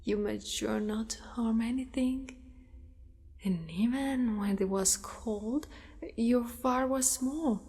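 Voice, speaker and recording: A woman voicing a possessive, doting female spider monster in an ASMR roleplay, with formal wording and a hushed delivery that often slips into whispers. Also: fairly high pitch. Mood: tender fascination. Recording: long reverberant echo.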